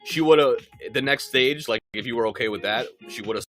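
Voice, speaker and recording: A man's voice: mid-range voice, brisk, a crowd in the background. A man of forty chatting casually on a podcast as co-host, emphatic and repetitive, with frequent swearing. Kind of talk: casual conversational podcast chat.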